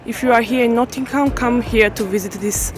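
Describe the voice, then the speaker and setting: A woman vlogger chatting casually while walking outdoors through a city center. Her English is non-native and halting, with frequent restarts. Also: on the high side. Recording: music playing under the voice. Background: background music.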